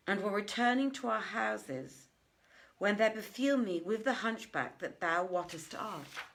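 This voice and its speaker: A middle-aged woman reading old tales aloud in a deliberate, theatrical way, with emphatic pauses. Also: on the low side, fairly bright tone. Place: indoors, living room by a fireplace.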